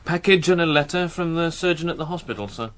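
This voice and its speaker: An actor playing a respectful, matter-of-fact police sergeant reporting in a radio drama. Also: male voice, fairly high pitch, animated, fast, a bit bright. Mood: dutiful, reporting. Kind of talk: British radio drama acting.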